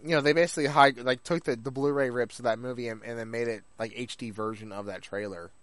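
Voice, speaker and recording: A man's voice: mid-range voice, steady tone, fast, fairly bright tone, dry recording. A man co-hosting a podcast in casual chat about anime, rambling along and acting out things people said. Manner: rambling, acts out remembered remarks. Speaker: podcast co-host.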